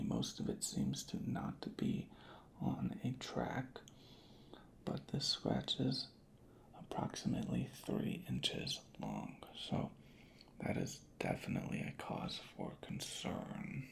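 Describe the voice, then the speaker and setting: Slow, creaky ASMR whisper. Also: medium pitch, huge pitch swings. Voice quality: breathy whisper with creaky fry. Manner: hushed, deliberate, unhurried. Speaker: ASMR creator playing a record inspector. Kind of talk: ASMR, soft-spoken and whispered roleplay.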